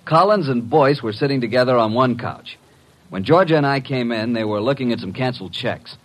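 An actor playing a wry, cheeky, streetwise detective's assistant with a breezy, mock-polite manner, in 1950s radio drama style. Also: male voice, mid-range voice, lively intonation, brisk, natural voice, rough recording. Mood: matter-of-fact.

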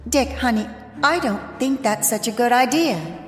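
An actress playing a caring young woman who runs a circus, speaking gently and in a businesslike way. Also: on the high side, expressive, moderate pace, natural voice. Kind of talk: audio drama acting. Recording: reverberant with music score.

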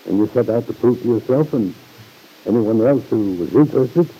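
An actor playing an earnest, middle-aged fatherly uncle in an old-time radio drama, gravely reproving in measured, formal phrases. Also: mid-range voice, animated, dark tone, rough recording. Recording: vintage radio recording.

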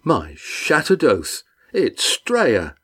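A man's voice: medium pitch, wildly swooping pitch, very slow, fairly bright tone, breathy edge, pitched above the performer's own voice, exaggerated voice. A man voicing a big, hearty red-haired fisherman skipper with boisterous, folksy old-fashioned speech.

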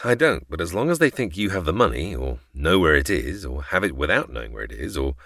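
An actor playing a suave, reassuring man with hidden motives in a radio drama, calm and evasive in manner. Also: extremely low pitch, fairly quick, creaky, no room sound, studio quality, heavily acted.

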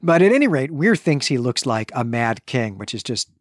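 A man with a mid-range voice walks through a novel's chapter in a measured, analytical way, often correcting himself. The recording is close and dry, with no room sound. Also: medium pitch, sing-song, dry recording. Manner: thoughtful, measured, with frequent self-corrections. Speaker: podcast co-host. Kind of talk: literary discussion podcast, summarizing chapters.

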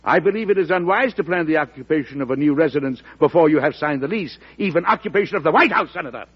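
An actor playing a principled older American president in a radio drama, speaking in a formal, deliberate, oratorical way. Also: male voice, fairly high pitch, expressive, breathy edge. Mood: stern, lofty. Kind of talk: 1940s radio drama acting. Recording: dull vintage radio recording.

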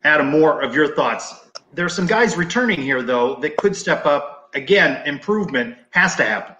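A man's voice: fairly high pitch, expressive, moderate pace, sharp tone, highs cut off, a little hiss or hum. A man with an American accent hosting a sports talk show in a conversational style. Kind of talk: conversational hosting, asking questions. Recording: echoey room sound.

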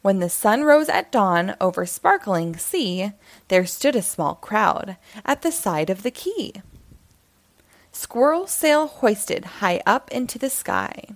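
A woman reading a rhyming children's picture book aloud in an expressive storytelling style. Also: medium pitch, takes it slowly, no room sound.

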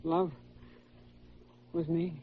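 Haunted, halting young radio-drama veteran. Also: male voice, fairly high pitch, little pitch movement, slightly rough, exaggerated voice. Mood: dazed. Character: guilt-ridden, tormented young male war veteran under sedation. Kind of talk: classic American radio drama acting. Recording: dull old radio recording with music.

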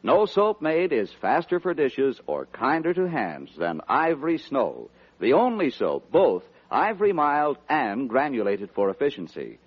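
A man's voice: medium pitch, big pitch swings, a little slow, hardly any pauses, breathy edge. A man reading a scripted product advertisement in 1940s radio announcer style. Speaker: commercial announcer. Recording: dull lo-fi 1940s radio recording.

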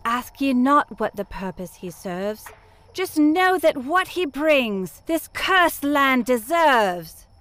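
A mysterious female oracle in a fantasy audio drama. She intones cryptic rhymes theatrically in a highish, lilting voice, taking her time. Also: sing-song, fairly bright tone, exaggerated voice. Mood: portentous. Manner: chanting in rhyme, measured.

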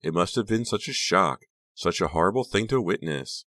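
A man's voice: deep voice, animated, normal speed, a bit bright, no echo, clean studio sound, pitched above the performer's own voice. A man voicing a smothering, overly sympathetic teenage girl in an audiobook, with a cloying, exaggeratedly soothing manner. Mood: insistent sympathy. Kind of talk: audiobook character dialogue.

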